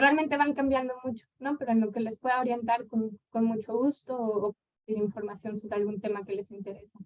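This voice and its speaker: A woman in her thirties from Mexico with a Mexican accent, answering questions as a guest lecturer in a relaxed, conversational way. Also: a little high, poor audio. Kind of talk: conference Q&A answer.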